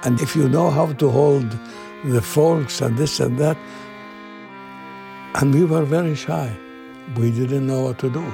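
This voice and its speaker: Elderly Iraqi man, gravelly and slow. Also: mid-range voice, music in the background. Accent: Iraqi Arabic. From Iraq. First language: Arabic. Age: 80s. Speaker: interviewee recalling his youth. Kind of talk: personal oral storytelling.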